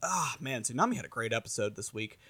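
A man's voice: mid-range voice, big pitch swings, fast, bright, forceful tone, husky, no echo. A man co-hosting a podcast, making jokey, self-deprecating remarks in casual chat. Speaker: podcast co-host.

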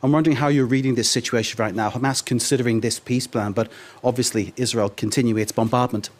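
A man anchoring a broadcast news interview, speaking in a measured, probing manner. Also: medium pitch, fairly even intonation.

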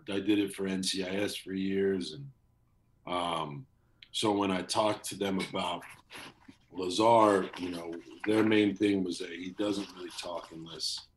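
Deep-voiced man chatting casually. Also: rough recording. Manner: relaxed, rambling, many fillers and restarts. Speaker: voice actor. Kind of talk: casual interview chat. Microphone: video call.

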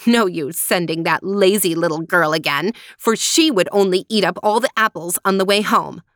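A woman voicing a greedy, bad-tempered spoiled girl with a rude, sharp, demanding manner in a storybook tale. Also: on the low side, normal speed, no room sound, studio quality, natural voice. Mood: headstrong.

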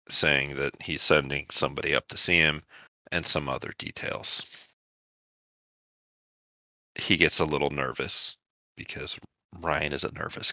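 A man's voice: very deep voice, bright, forceful tone, dry recording. A man narrating a tabletop roleplaying game as the game master, setting scenes and calling for rolls.

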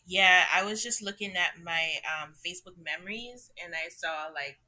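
A young adult woman from Trinidad and Jamaica, a podcast guest who tells her story in a relaxed, reflective way with frequent fillers and laughs. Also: on the low side, normal speed. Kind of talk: conversational interview storytelling.

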